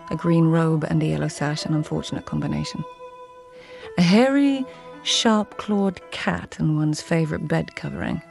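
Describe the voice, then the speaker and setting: A woman's voice: sits low, vocal fry, darkish tone. An actress playing a witty, haughty court lady and poet in a radio drama, precise, dry and elegant. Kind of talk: radio drama narration and acting.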